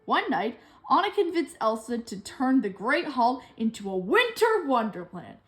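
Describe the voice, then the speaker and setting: High, lively female storybook reader. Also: unhurried, faint music behind. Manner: expressive storytelling. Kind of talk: expressive read-aloud of a children's storybook.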